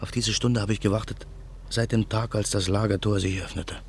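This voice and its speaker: A man's voice: lowish voice, fairly even intonation, a little fast, a little mellow, slightly rough. An actor playing a vengeful man who broods in a grim inner monologue in a radio drama. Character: vengeful man waiting in a car at night. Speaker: narrator and protagonist. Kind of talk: 1950s radio drama acting.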